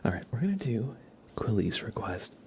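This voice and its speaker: A man's voice: fairly low pitch, big pitch swings, moderate pace, gravelly, mellow, slight background noise. A man hosting an ASMR livestream, chatting casually and playfully with viewers in a whispery, slightly stuffed-up voice close to the mic. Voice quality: stuffy nose. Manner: whispery, playful, teasing banter.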